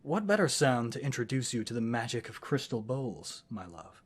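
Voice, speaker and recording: A man playing an affectionate, scholarly wizard boyfriend in an intimate fantasy roleplay audio, speaking gently in eloquent, formal phrases. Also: mid-range voice, big pitch swings, moderate pace, husky. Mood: gentle, instructive.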